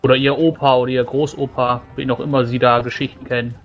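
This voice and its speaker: A man's voice: mid-range voice, level tone, quick pace, lo-fi sound. A man playing a tabletop roleplaying game, casually describing what his character does.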